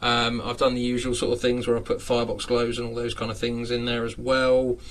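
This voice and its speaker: A man's voice: mid-range voice, monotone, a little fast, recorded from a distance. A man in his late thirties, hosting a casual live chat from his home model railway room, rambling with lots of fillers and frequent chuckles. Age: 30s.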